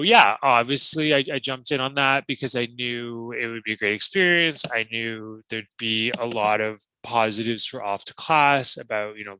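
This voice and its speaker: Very bright man, thoughtful. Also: medium pitch, clean tone, no room sound, poor audio. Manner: thoughtful, with frequent ums and restarts. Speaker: guest, language teacher. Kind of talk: conversational podcast interview.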